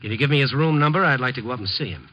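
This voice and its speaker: An actor playing a wry, world-weary newspaper reporter in a 1950s radio drama, narrating and talking in a dry, wisecracking way. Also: male voice, mid-range voice, lively intonation, very fast, natural voice. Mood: impatient, insistent. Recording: muffled old 1950s radio broadcast.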